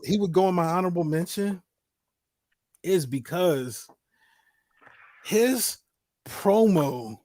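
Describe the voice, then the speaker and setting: A man's voice: high voice, darkish tone, dry recording, low-quality recording. A man in his forties hosting a casual talk show, speaking emphatically and repeating himself to drive points home. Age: 40s. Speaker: host.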